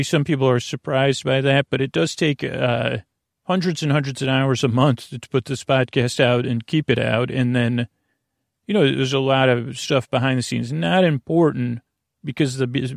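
A man hosting a bedtime podcast in a rambling, halting monologue, circling back and losing his thread, with a creaky, gravelly voice. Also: medium pitch, no echo, clean studio sound. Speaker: podcast host. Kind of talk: rambling bedtime monologue to help listeners sleep.

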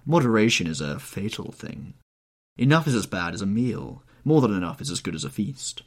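Audiobook: male narrator doing a witty aristocrat, low and husky, dry epigrams. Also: big pitch swings, normal speed. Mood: wry. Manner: languid epigrams, drawling. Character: witty cynical aristocratic gentleman.

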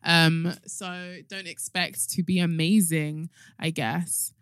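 A woman's voice: lowish voice, fairly even intonation, takes it slowly, clean tone, no room sound, clean studio sound. A young woman, hosting a casual podcast chat in a playful, teasing way. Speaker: podcast host.

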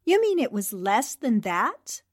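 An actress playing a smart, wisecracking young newspaperwoman in 1940s-style radio drama, very expressive. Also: a little high, a little slow, husky, dry recording, studio quality, full character voice. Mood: incredulous.

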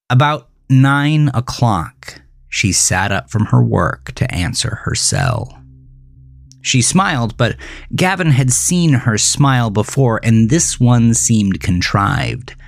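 A man narrating a scripted horror story in a deliberate, dramatic manner over music. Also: on the low side. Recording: music behind the voice.

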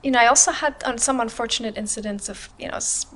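A woman's voice: on the high side, fast talker, fairly bright tone. Candid woman telling her life story. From Germany. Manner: open, reflective, frequent "you know". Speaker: podcast guest.

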